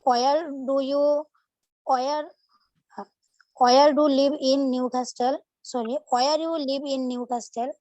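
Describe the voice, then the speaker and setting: Woman student on a video call, slow and halting, lots of ums, fairly high voice. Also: fairly even intonation, mellow, dry recording, highs cut off. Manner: hesitant, many fillers, searching for words. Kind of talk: classroom practice.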